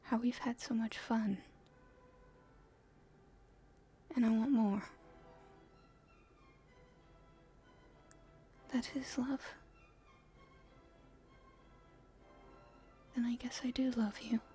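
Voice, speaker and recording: A woman playing a playful young princess in love, speaking softly and breathily in an intimate ASMR romance roleplay, with gentle, halting delivery. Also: a little high, steady tone, faint music behind. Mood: tender, hopeful. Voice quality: breathy, whispery, soft.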